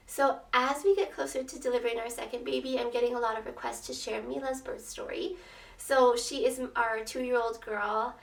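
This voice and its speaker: A young woman with a slightly raspy voice, telling a personal story in a casual, chatty, expressive way, as a vlogger would. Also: fairly high pitch. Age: young adult. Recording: roomy echo, distant microphone.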